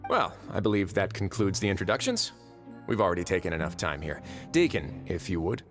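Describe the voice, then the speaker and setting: An actor playing a composed, courteous, mysterious duke and prison warden in a video game, speaking in a measured, formal way. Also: male voice, deep voice, very animated, fairly bright tone, faint music behind.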